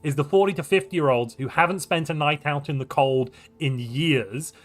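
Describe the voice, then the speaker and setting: A man's voice: on the high side, normal speed. A man from North Wales, chatting casually and animatedly on a live stream, rambling and joking at his own expense. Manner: animated, rambling, jokey and self-mocking. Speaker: streamer and tournament caster.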